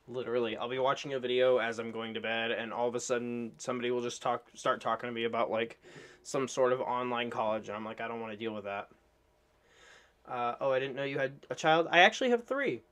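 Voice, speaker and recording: A man's voice: medium pitch, fairly quick, a bit bright. A man chatting casually as a streamer reacting to videos. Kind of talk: casual livestream chat.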